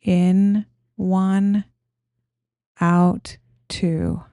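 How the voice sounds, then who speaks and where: A woman guiding a meditation in a gentle, soothing, unhurried manner, giving simple instructions. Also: lowish voice, flat delivery, dry recording, studio quality. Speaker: meditation guide.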